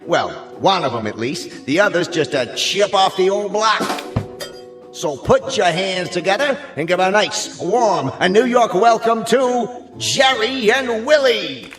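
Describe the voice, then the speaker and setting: Hammy, echoey nightclub emcee. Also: male voice, high-pitched, big pitch swings, unhurried. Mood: jokey, showy. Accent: American. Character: hammy wisecracking male nightclub emcee working the crowd. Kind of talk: old-school stand-up patter in radio drama. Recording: echoey club microphone, music behind.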